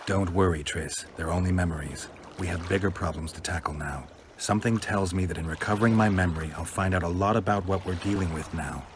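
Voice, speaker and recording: An actor playing a calm, gruff monster-hunting mercenary in a video game, speaking in a terse, restrained, dry way. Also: male voice, sits low, a little fast, few pauses, noisy background, lightly acted. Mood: calm, focused. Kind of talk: video game cutscene acting.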